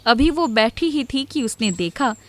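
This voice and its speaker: A woman's voice: on the high side, expressive, measured pace, fairly bright tone, a little hiss or hum. A woman narrator reading a scripted story for children. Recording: music playing behind the voice.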